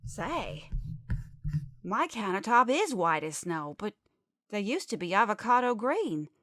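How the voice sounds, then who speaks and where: Skeptical housewife, played by an actress, retro commercial foil, plain and doubtful, close dry recording. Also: female voice, mid-range voice, sing-song, unhurried, fairly bright tone, no echo. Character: busy, skeptical housewife, foil in parody cleaning advert. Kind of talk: old-time commercial acting.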